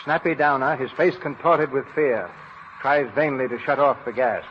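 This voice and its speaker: A man's voice: medium pitch, normal speed, close to an everyday voice. A man narrating a 1930s radio drama in a solemn, theatrical manner. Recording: muffled, reverberant, noisy 1930s radio.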